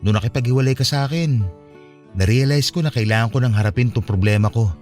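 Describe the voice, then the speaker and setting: An actor plays a troubled young Filipino man in a radio love story. He is sincere and confiding, with an average-pitched male voice. Soft music sits behind his lines. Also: fast talker, natural voice. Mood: sombre, resolved. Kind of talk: Filipino radio romance drama acting.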